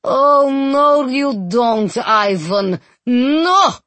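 A woman voicing a furious, imperious captain's wife in an audiobook, shrill and accusing, with drawn-out theatrical emphasis. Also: lively intonation, fairly bright tone, no echo, full character voice. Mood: raging. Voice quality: shrill, piercing. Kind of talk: audiobook character acting.

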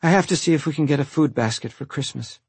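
Exasperated tired mom, acted by a male narrator, raised pitch, pleading and scolding, storybook drama, clean dry sound. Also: fast talker, slightly rough, no echo. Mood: weary, sad. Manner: pleading, sighing, snapping into yells. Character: worn-out, exasperated mother scolding her quarrelling sons.

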